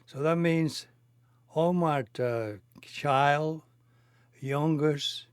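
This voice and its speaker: A man in his eighties from Cuba, a native Spanish speaker with a Cuban accent, recounting his life in halting, non-native phrasing. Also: fairly high pitch, smooth, no room sound, clean studio sound. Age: 80s. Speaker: interviewee telling his life story. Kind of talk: reminiscing conversation.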